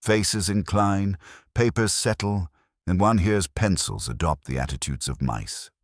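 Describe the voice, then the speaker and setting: A man's voice: deep voice, expressive, a little slow, no echo, studio quality. A man reading literary mystery fiction in a formal, dryly ironic manner. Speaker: narrator and reader. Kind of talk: scripted literary mystery narration.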